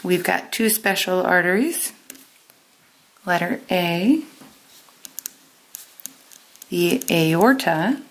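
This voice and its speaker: Slow, deliberate woman teacher. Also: on the low side, lively intonation, low-quality recording. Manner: deliberate, instructional, with stressed key words. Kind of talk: step-by-step lesson with pauses for note-taking.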